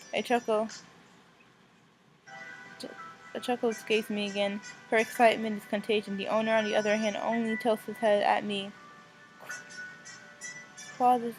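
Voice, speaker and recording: High-voiced woman reading a game aloud. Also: low-quality recording. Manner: stumbles over the text, repeating and correcting phrases; expressive, reacts in outbursts between read passages. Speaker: gaming video host. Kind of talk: visual novel let's play, reading aloud with casual reactions. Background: music.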